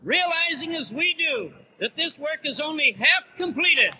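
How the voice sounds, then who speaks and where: A man's voice: very high voice, expressive, unhurried. A man with an American accent delivering a formal, projected political speech as a convention delegate in the 1930s. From United States. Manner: declamatory, projected. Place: large convention hall, public-address speech. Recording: muffled, noisy 1930s radio broadcast recording.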